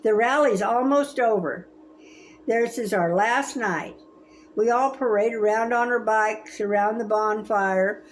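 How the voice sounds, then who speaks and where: A woman in her seventies reading a children's story aloud in a gentle, expressive, grandmotherly way. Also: a little high, measured pace, a little hiss or hum, rough recording. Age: 70s. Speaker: storybook reader.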